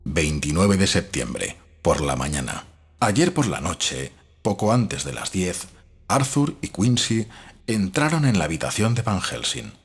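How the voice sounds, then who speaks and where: Very husky, low-voiced brooding doctor, read by a man. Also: very animated, a little slow, soft background music, heavily acted. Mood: reflective. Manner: formal, reflective. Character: male doctor narrating his diary, sceptical, brooding, analytical. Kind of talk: dramatised audiobook diary narration.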